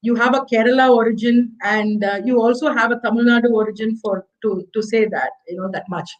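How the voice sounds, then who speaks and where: Higher-voiced Indian woman hosting. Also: steady tone, a little fast, muffled recording. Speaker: host introducing a guest speaker. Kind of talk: hosting an online fireside chat.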